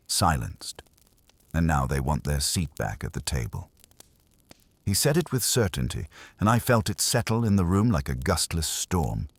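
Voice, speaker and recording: A man's voice: very deep voice, breathy edge. A man narrating a first-person detective audiobook in a wry, hardboiled manner.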